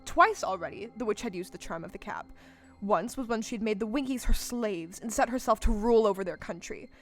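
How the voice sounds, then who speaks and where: A woman's voice: mid-range voice, brisk, lightly acted. A woman playing the storyteller of a fairy-tale audio play, narrating in a theatrical, scripted way. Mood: storytelling. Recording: live stage show with loud music underneath.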